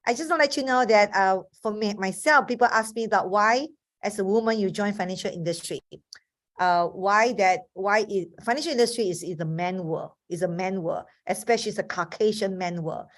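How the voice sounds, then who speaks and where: A woman in her fifties with a Malaysian accent talks earnestly on a video call. Chinese is her first language, and she often restarts her sentences. Her pitch sits mid-range for a woman. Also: fairly quick. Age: 50s. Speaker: webinar speaker. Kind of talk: motivational talk.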